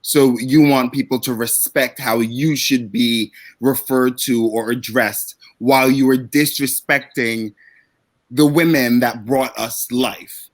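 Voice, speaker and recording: Impassioned man, firm and deliberate. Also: mid-range voice, fairly even intonation. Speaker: panel guest on a live stream. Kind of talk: casual discussion.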